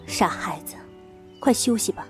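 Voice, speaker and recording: A woman playing a mature, caring martial arts master in a Chinese period audio drama, calm, measured and authoritative. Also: faint music behind, close to an everyday voice. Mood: soothing.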